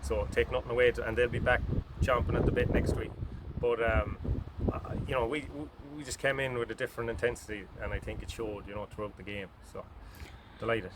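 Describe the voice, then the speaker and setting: A man with an Irish accent talks through a post-match interview as a football manager. He is chatty and keeps saying "listen", his voice sitting in a middle pitch. Loud outdoor noise runs under the recording. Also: steady tone, brisk, low-quality recording. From Ireland. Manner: chatty, repeats "listen" and "delighted".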